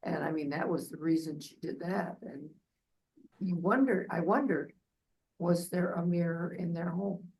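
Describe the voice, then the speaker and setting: A woman's voice: fairly low pitch, measured pace, vocal fry, a little mellow, slight background noise, poor audio. An older woman reflecting earnestly on a story in a casual book discussion. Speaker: book club member.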